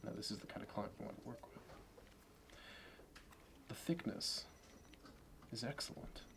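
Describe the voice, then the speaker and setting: Low-voiced smug Wall Street banker played by a man, slick and fussy ASMR roleplay, audible background noise. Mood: meticulous appraisal. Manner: smooth sales talk, measured and fussy. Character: smug, fastidious investment banker, slick and contemptuous.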